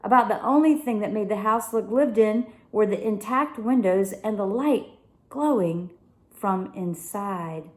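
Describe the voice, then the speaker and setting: A woman reading a children's novel aloud with expressive narration. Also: mid-range voice, unhurried, roomy sound. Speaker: story reader.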